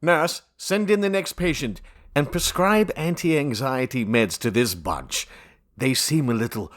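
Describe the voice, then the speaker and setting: A man's voice: mid-range voice, a little slow, close to an everyday voice. A man playing an officious, dismissive doctor in a comedic audio drama, brusque and literal-minded. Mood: dismissive, deadpan.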